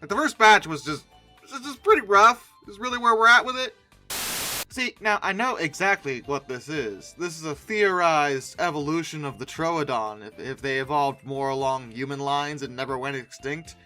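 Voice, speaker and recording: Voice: medium pitch, moderate pace, sharp tone, faint music behind. A comedic online host giving sarcastic reaction commentary with theatrical mock outrage. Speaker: YouTube host reacting to images.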